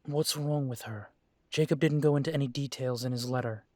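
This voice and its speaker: A young man playing a restless grown son coming home, halting at first and then pressing. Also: medium pitch, fairly even intonation, darkish tone, no echo, lightly acted. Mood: upset. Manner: hesitant, then pressing and insistent.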